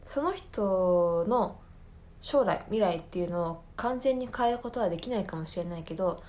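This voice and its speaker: A woman's voice: on the low side, expressive, moderate pace, a little mellow. Reflective woman podcast host, searching for words. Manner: hesitant, searching for words, earnest. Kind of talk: reflective solo talk, personal film commentary.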